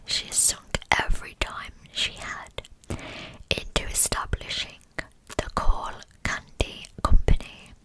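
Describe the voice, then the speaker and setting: A woman narrating a scripted true crime story as ASMR in a breathy, unvoiced whisper, with soft, measured phrasing. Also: poor audio.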